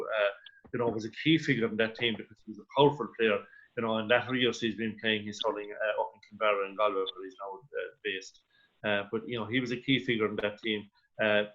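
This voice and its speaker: An Irish man giving his view as a sports pundit, conversational and halting, with repeated phrases. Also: lowish voice, a bit bright. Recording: dull lo-fi radio link.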